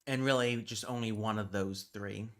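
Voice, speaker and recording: Casual streamer, a man with a lowish voice, mutters through tasks and swears when stumped. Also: fairly even intonation, normal speed, a bit bright. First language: British Sign Language. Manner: thinking aloud, muttering while working; easily exasperated, swears under breath. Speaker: livestream host. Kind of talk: casual livestream chat.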